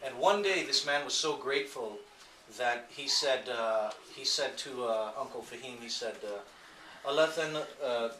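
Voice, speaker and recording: Man, mid-pitched voice, hesitant storytelling, unscripted, distant mic, faint noise behind. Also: pushed and bright. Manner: hesitant, halting. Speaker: speaker at a memorial gathering. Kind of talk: unscripted anecdote telling. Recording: echoey room, distant mic, some noise.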